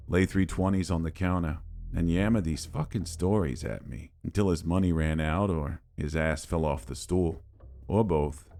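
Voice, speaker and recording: A man with a very low voice narrates a scripted horror tale. He tells it in the first person, with a wry, world-weary manner suited to a bartender's recollection. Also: warm tone.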